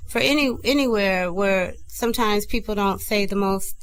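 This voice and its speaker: A woman from Michigan talks casually as a guest on a radio show, with a mid-pitched, everyday voice. She tells stories in an animated way and slips into quoted voices. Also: normal speed, clear, resonant tone. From Flint, Michigan. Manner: animated storyteller, acts out quoted dialogue. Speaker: guest singer. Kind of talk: casual interview chat.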